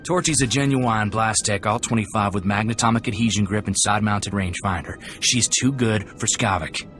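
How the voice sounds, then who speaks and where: An actor playing a folksy, earnest, hot-headed young gunslinger in a video game. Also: male voice, on the low side, brisk, a bit bright, soft background music, close to an everyday voice. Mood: indignant.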